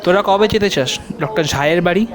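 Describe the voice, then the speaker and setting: An actor playing a confident, helpful police officer in an audio detective drama, explaining in a measured way with English terms mixed in. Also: male voice, high voice, lightly acted. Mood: friendly, helpful. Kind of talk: audio drama acting in Bengali detective story.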